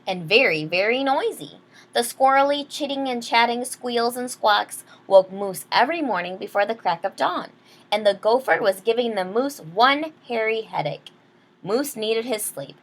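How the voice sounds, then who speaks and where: A woman reading a children's picture book aloud expressively, pausing to explain things to young listeners. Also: on the high side, lively intonation, fairly bright tone. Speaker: read-aloud storyteller.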